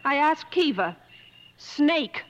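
An actress playing a proud, headstrong English wife on an expedition, haughty and clipped, in 1950s radio drama style. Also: female voice, sits high, sing-song, slow pace, heavily acted. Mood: indignant. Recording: muffled, noisy old radio broadcast.

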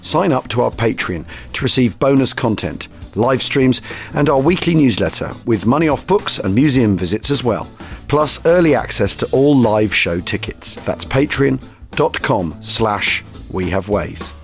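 A male podcast host delivering a scripted introduction. His pitch is mid-range and moves around a lot, giving the lines an animated lift. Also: a little slow, soft background music.

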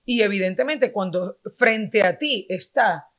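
A woman's voice: mid-range voice, unhurried, fairly bright tone. A Venezuelan woman in her forties, a guest in a casual chat, talking in an earnest, explanatory way.

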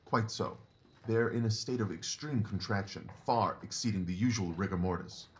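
A man's voice: fairly low pitch, normal speed, close to an everyday voice. A man playing a confident, analytical Victorian detective in an audio drama, assured, precise and didactic in his talk. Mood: keen, instructive.

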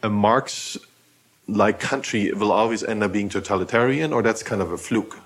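A man's voice: fairly low pitch, normal speed. A man from Eastern Germany, hosting a podcast and asking long, rambling questions with many fillers. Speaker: podcast host. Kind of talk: casual interview questions.

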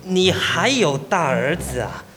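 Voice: medium pitch, big pitch swings, slow, music in the background. A performer doing a jittery young male burglar. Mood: uneasy. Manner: wary and uneasy, turning to panicked screaming. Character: male burglar aged 36 posing as a renter, wary. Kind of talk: amateur radio drama acting.

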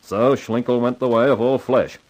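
An actor playing a self-assured, slangy, wry amateur sleuth in a 1950s radio crime drama. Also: male voice, medium pitch, fairly even intonation, normal speed, darkish tone, close to an everyday voice. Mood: confident. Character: wisecracking, hard-boiled male amateur sleuth, self-assured.

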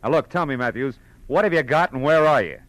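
A man's voice: medium pitch, lively intonation, fast, no room sound, natural voice, poor audio. A man acting a hard-boiled, wisecracking private detective in a 1940s radio drama, with an American accent and a tough, clipped, sardonic delivery. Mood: urgent.

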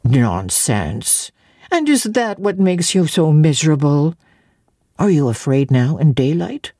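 A woman voicing a brisk, skeptical but good-natured male apothecary in a storybook reading, questioning and gruffly teasing. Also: deep voice, very animated, dark tone, no echo, studio quality, exaggerated voice.

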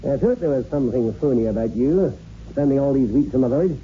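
An actor playing a brisk, authoritative doctor in a 1960s radio drama, with clipped delivery. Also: male voice, mid-range voice, expressive, lightly acted. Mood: accusing. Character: brisk, authoritative male doctor investigating a mystery. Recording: muffled, hissy old radio recording.

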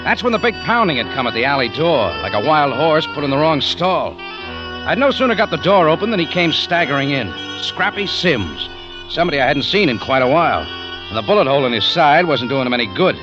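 A muffled 1950s radio recording with music behind. a tough American adventure hero, a man who talks in clipped, wisecracking lines with lively swings of pitch. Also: a little fast. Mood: wry narrating. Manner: clipped, terse, hardboiled. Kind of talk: 1950s radio drama acting, hardboiled narration.

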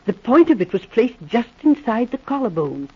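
A proper, earnest young woman secret agent in a 1940s radio drama, her voice a touch husky and full of lively rises and falls. She talks briskly and a little primly. It all comes through a dull, lo-fi old radio transcription. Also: on the high side. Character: Miss Guest, sharp-minded young woman secret agent.

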